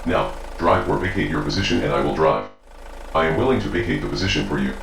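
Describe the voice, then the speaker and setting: Audio-drama role, male actor: literal, determined machine protector, deep creaky voice, stilted delivery, loud music behind. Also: quick pace, reverberant, heavily acted. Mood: stern, determined. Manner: stilted, formal, deadpan. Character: stiff, formal, literal-minded machine-like bodyguard, bluntly determined. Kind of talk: scripted audio drama acting.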